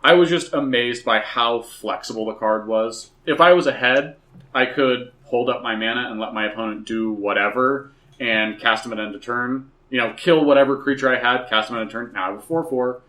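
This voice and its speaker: A man chatting casually and excitedly about a card game, profane and emphatic, telling stories with gusto. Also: mid-range voice, fairly quick. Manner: profane, emphatic, animated storytelling. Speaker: podcast co-host.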